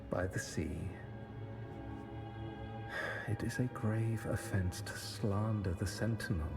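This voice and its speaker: An actor playing a stern, pious religious interrogator in a video game, solemn and formal, in a reverberant hall. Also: male voice, mid-range voice. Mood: stern, reproachful. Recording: reverberant hall sound under music.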